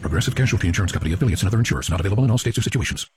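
A man's voice: on the low side, expressive, very fast, darkish tone, faint music behind. A man announcing scripted advertising tags.

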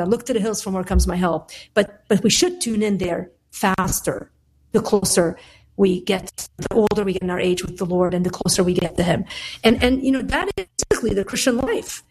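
A woman's voice: mid-range voice, a little mellow, slightly rough, low-quality recording. A woman from Lebanon, a guest author on a radio talk show, speaking in long, earnest, reflective thoughts.

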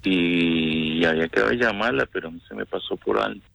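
Guy with a lowish creaky voice on a casual flirty call, kinda hesitant. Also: moderate pace, a bit bright, no echo, muffled recording. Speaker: phone call recipient. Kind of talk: casual, flirtatious phone chat.

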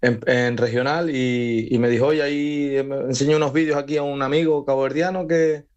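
A young man, a former footballer, answering a radio interview in a relaxed, rambling way with many fillers and restarts. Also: medium pitch, brisk, dull audio.

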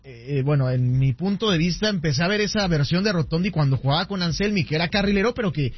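A male sports radio host with a high, husky voice. He talks in a measured, analytical way and introduces callers. The broadcast sound is dull and lo-fi. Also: lively intonation. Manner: measured analysis, takes calls.

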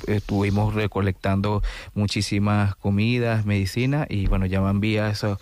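A man's voice: lowish voice, flat delivery, moderate pace, darkish tone, a little hiss or hum. A man with a Venezuelan accent, an orchestra director speaking earnestly as a guest in a radio studio, with many fillers and restarts.

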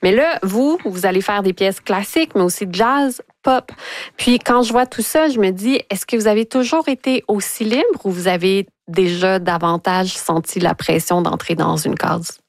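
A woman interviewer asks questions in a polite, measured tone. Her pitch sits mid-range, and she is recorded close and dry in a studio. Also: dry recording.

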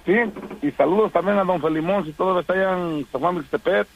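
Gravelly rambling male radio caller. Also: high voice, quick pace, narrow, tinny audio, a little hiss or hum. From Oaxaca, Mexico. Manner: rambling, with many hesitant fillers. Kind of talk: casual phone-in greetings.

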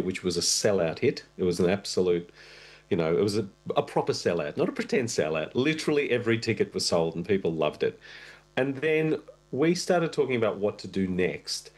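A man's voice: low voice, darkish tone. A middle-aged man who grew up in Russia, an actor and producer chatting candidly as an interview guest, with wry asides. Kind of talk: conversational interview.

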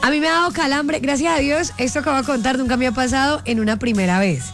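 Woman co-host, higher voice, bawdy and animated, light music bed. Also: fast talker. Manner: playful, bawdy, animated storyteller. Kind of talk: casual chat.

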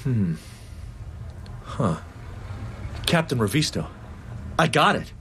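A man's voice: medium pitch, big pitch swings, takes it slowly, fairly bright tone, a little hiss or hum. A man playing a courteous but firm young student who refuses an offer on principle, in a one-man stage play. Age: young adult.

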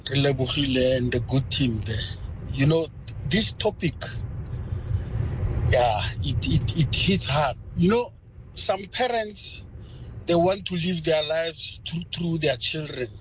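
A South African man calling in to a radio show, speaking haltingly and repeating himself as he makes his point. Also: fairly high pitch, noisy background. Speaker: radio caller. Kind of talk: call-in voice note.

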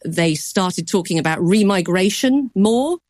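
A woman news correspondent giving a measured explanation on a news program, softening points with qualifiers. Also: mid-range voice, expressive, no room sound, clean studio sound.

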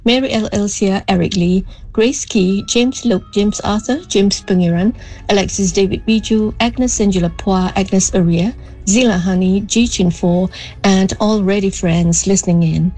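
A woman's voice: medium pitch, moderate pace, mellow, poor audio. A woman sends a polite voice note to a radio DJ, reading out names one by one. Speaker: listener sending a voice note.

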